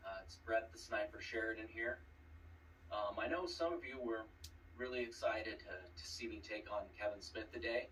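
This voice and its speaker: A man's voice: lowish voice, normal speed, fairly bright tone. A man giving a casual confessional video message in a hesitant, dejected way, as a trivia competitor. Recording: distant mic with faint noise.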